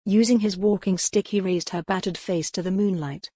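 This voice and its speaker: A woman's voice: medium pitch, fairly even intonation, normal speed, dark tone, no echo, clean studio sound. A woman reading scripted dramatic narration of a historical romance as an audiobook narrator.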